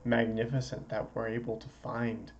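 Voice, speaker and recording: A man's voice: medium pitch, completely flat delivery, a little hiss or hum. Man on a muffled call, easy laughter. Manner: friendly, laughs easily, quick agreeing yeahs. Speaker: interview host. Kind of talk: casual interview chat.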